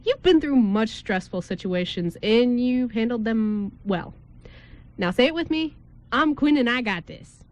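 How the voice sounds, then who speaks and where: Radio play groom played by a woman: playful, reassuring buddy, high voice with big melodic swings. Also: lively intonation, measured pace, darkish tone, dull audio. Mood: encouraging. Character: teasing, encouraging male groom, loyal buddy. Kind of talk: amateur radio play script reading.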